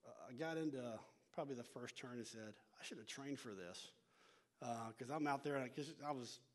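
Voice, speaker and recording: A man's voice: medium pitch, brisk. A middle-aged man with a North Texas accent, a church education pastor leading a workshop in a folksy, self-deprecating way, full of restarts and fillers. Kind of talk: casual talk to a church group, opening with prayer.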